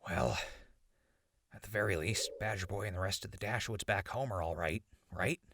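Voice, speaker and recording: An actor playing a sardonic, laid-back ghoul survivor in an audio drama, raspy and gravelly, with a casual, teasing way of talking. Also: male voice, deep voice, normal speed, noisy background. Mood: wry nostalgia. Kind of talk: post-apocalyptic audio drama acting.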